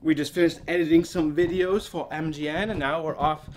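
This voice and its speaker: Young male vlogger over loud music. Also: fairly high pitch, steady tone, brisk. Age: young adult. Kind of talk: casual vlog narration.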